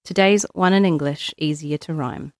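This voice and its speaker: A woman with a New Zealand accent reading poetry aloud in measured, short phrases. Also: low-pitched, dry recording, studio quality. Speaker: guest poetry reader.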